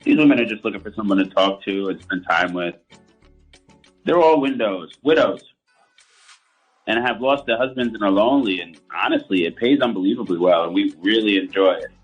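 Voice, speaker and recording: A man with a fairly low, husky voice on a muffled phone line. He talks casually and matter-of-factly, completely unbothered, with faint music underneath. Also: a little fast. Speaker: phone call participant.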